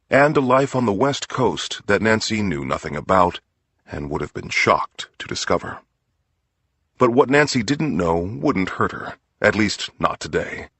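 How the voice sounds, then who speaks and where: Male audiobook narrator for crime fiction: very low register, husky, dry studio sound. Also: fairly bright tone, dry recording. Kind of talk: scripted crime story narration.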